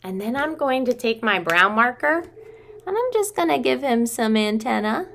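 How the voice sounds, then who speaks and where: A woman's voice: on the high side, big pitch swings, normal speed, gravelly, fairly bright tone, a little hiss or hum. A woman in her thirties, a preschool teacher, talking simply to young children through a craft demonstration at home. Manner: simple, child-directed talk, addressing listeners as friends. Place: small room at home.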